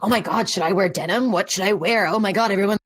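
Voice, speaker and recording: A woman's voice: mid-range voice, fast, breathy edge, no room sound. A young woman co-hosting a podcast who teases with dry sarcasm. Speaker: podcast co-host. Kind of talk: casual podcast banter.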